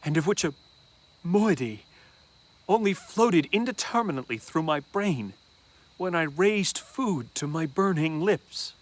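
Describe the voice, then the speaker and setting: Slow-paced man reading gothic horror. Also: on the high side, animated. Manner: deliberate, with dramatic pauses. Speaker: reader of a classic horror story. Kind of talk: dramatic literary narration, first-person horror reading.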